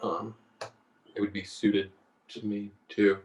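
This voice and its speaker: A low-voiced man chats hesitantly about songwriting, laughing easily between his thoughts. The sound comes over a video call. It is roomy and a little distant from the mic. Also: little pitch movement, slow, a little mellow, muffled recording, a little hiss or hum. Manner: hesitant, laid-back, laughs often. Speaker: singer-songwriter guest. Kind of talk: relaxed conversational interview.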